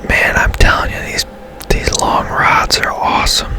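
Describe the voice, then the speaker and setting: Whispering man, slow ASMR game commentary. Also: pushed and bright. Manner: whispering throughout; casual, unhurried. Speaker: gaming streamer.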